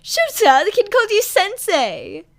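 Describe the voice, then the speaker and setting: A woman voicing a loud, excitable, gushing man who is a radio-host superhero in a story reading. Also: extremely high pitch, measured pace, pitched above the performer's own voice, full character voice. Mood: gleeful. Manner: exclamatory, gushing.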